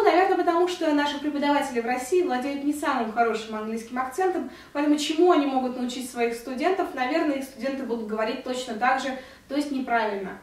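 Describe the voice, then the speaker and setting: A woman's voice: sits high, heavy reverb, recorded from a distance. A young woman in her twenties from Russia, earnestly explaining her thoughts to the camera in a casual vlog with her hands moving. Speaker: vlogger. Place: indoor room at home.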